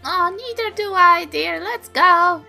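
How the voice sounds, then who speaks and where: A woman's voice: extremely high pitch, takes it slowly, creaky, sharp tone, faint music behind, heavily acted. A woman playing a cheerful, mischievous old granny druid in a tabletop game. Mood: agreeable.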